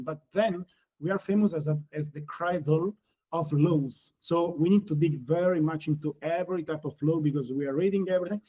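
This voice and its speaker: Italian-accented man, halting and muffled. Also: a little high, slightly rough. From Italy. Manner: halting, frequent self-corrections. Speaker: panel guest. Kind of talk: panel discussion.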